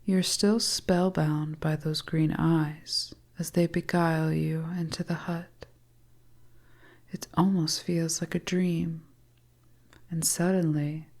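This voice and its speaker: A woman narrating a scripted hypnotic story to the listener in a breathy, soothing, whispery voice. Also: deep voice. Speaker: audio storyteller.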